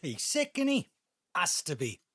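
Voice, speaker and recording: A resentful adult son in an audio drama. His husky voice swings up and down with sarcasm and accusation, in a dry, close recording. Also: high voice, huge pitch swings, measured pace, a bit bright, no echo, full character voice. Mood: mocking, accusing. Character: bitter, mocking grown-up son. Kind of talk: naturalistic audio drama acting.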